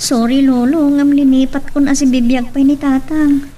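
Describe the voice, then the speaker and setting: A woman's voice: high voice, level tone, normal speed, mellow, natural voice. A woman playing a hurt, resentful young grandchild in an Ilocano audio drama, with a Filipino accent. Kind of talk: Ilocano radio-style drama acting. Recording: distant mic with background music.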